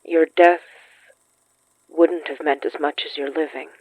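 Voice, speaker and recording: A woman playing an earnest, compassionate consoler in an audio drama, gentle and measured, through a radio-like filter. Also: sits low, fairly even intonation, no echo, narrow, tinny audio. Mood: earnest, reassuring.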